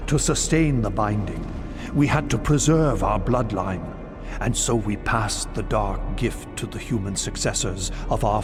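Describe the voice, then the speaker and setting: A solemn old vampire sage, played by a male actor, formal and grave in the manner of theatrical game acting. The voice sits low, with clear music underneath. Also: lightly acted.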